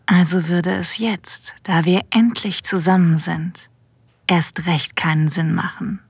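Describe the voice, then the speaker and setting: A woman playing a sensual, confident boss in an erotic audio drama, narrating intimately in a breathy whisper, ASMR style. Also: lowish voice, fairly even intonation, no echo, exaggerated voice. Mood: aroused, intimate. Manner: slow, intimate, deliberate. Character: female boss having a secret affair, sensual and confident. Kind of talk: ASMR-like scripted first-person narration. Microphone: close, dry studio mic.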